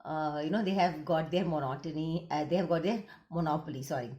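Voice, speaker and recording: A woman's voice: low-pitched, a little fast, dark tone, reverberant, lo-fi sound. Indian-accented woman psychologist, earnest. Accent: Indian English. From Gujarat, India. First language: Gujarati. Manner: earnest, switches between English and Hindi mid-sentence. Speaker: counseling psychologist. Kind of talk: casual talk to camera.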